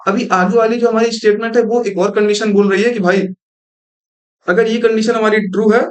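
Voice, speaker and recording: A man's voice: sits high, steady tone, a little fast. A young man giving a screen-recorded programming lesson, explaining step by step and mixing in English terms. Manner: explanatory, repeats "thik hai" and mixes in English terms. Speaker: programming tutor.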